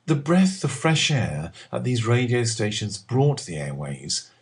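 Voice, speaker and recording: A middle-aged man with an English accent, reading a scripted personal essay in a deliberate, expressive manner with measured phrasing. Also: medium pitch, breathy edge. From England. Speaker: writer reading own column.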